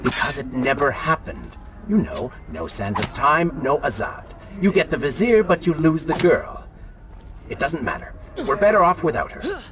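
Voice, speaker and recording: An actor voicing the sardonic, mocking and self-serving dark inner voice of a prince in a video game. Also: male voice, a little high, sing-song, moderate pace, background noise. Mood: sardonic, taunting. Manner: sneering, sarcastic. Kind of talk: video game character acting.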